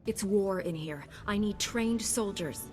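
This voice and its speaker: Determined mid-pitched actress as heroine. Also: female voice, a little slow, close to an everyday voice. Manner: direct, composed. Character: determined young female warrior knight hero. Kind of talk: dramatic video game dialogue. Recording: very loud music behind.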